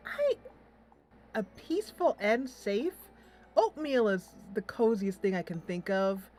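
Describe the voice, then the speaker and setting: Giggly woman playing through a game, music behind. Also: a little high. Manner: giggly, playful, thinking aloud. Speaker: host of a gaming channel. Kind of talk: casual let's play commentary.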